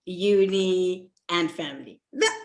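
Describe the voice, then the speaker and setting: A woman's voice: on the low side, slow pace, a little mellow. A woman hosting a casual radio interview, bubbly and playful, often giggling between her questions. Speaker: radio host.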